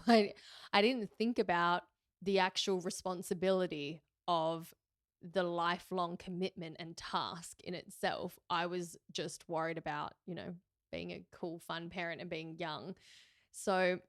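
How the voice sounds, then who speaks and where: A woman's voice: fairly low pitch, dry recording, studio quality. A woman, hosting a podcast and talking through her own life in a candid, reflective way with fillers and self-corrections. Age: 30s. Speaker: podcast host. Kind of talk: reflective solo podcast talk.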